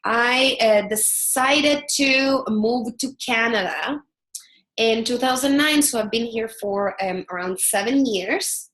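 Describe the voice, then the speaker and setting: A woman's voice: fairly high pitch, takes it slowly. Salvadoran woman presenting in an echoey room. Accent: Salvadoran Spanish. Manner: earnest, steady, frequent fillers and restarts. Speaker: product strategist. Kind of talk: webinar presentation.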